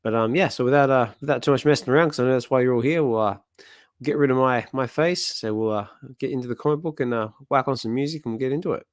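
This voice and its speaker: A man with a slightly hoarse voice hosting a comic reading stream, chatting casually and rambling with lots of fillers. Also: medium pitch, quick pace, no echo, studio quality. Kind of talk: casual chat.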